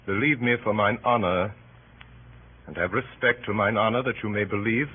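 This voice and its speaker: An actor playing a solemn, honorable Roman senator giving measured classical oratory in a radio drama. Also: male voice, lowish voice, fairly even intonation, husky. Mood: impassioned appeal. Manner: deliberate, measured rhetoric. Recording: tinny old radio recording, faint noise.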